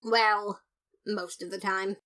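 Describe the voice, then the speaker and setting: A woman's voice: medium pitch, fairly even intonation, slow, bright, forceful tone. A woman voicing an earnest, boastful male skeleton detective who narrates his case in a fan audio drama, theatrical and emphatic. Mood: gleeful, proud. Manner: theatrical, self-satisfied, emphatic.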